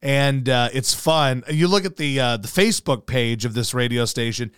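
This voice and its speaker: A hoarse man hosting a casual talk show, rambling in a loose, profane way and doing live ad reads. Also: mid-range voice, normal speed, a bit bright, no echo, studio quality. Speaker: radio host. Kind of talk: casual podcast chat and live ad read.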